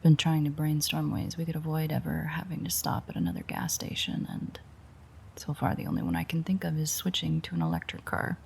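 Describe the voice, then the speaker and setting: A woman playing a weary, sardonic, teasing female vampire in an intimate audio roleplay, with a breathy, husky voice that often drops near a whisper and a dry, sarcastic way of talking. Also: sits low, expressive, fairly quick, darkish tone, a little hiss or hum. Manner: dry, rambling, sarcastic banter.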